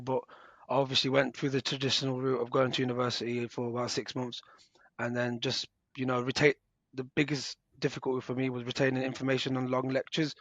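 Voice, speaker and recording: A young man in his twenties answers interview questions about his apprenticeship in a relaxed, informal manner, often restarting his words. He speaks in a flat tone with little pitch movement, and the recording is dry and somewhat dull. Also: dry recording. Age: 20s. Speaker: apprentice guest.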